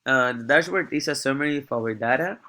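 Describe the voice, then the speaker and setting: A young man, an international student, giving a halting class presentation while sick with a cough. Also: medium pitch, bright, forceful tone. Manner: halting, often repeats words before going on. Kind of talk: class presentation walking through a data dashboard.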